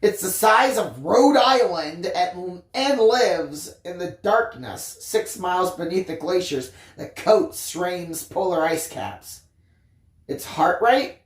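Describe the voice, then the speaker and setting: A storyteller reading a first-person tale expressively, with theatrical emphasis and drawn-out phrases. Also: medium pitch, echoey room. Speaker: audiobook reader, story time host.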